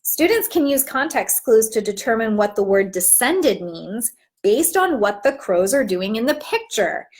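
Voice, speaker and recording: A woman teaching in an instructive, expressive way, as if demonstrating how to read a storybook aloud. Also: on the high side. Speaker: teacher trainer.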